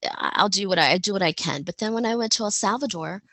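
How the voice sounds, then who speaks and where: A woman giving a personal storytelling presentation as a panel speaker, halting and reflective as she goes. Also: mid-range voice, lively intonation, a bit bright, no echo.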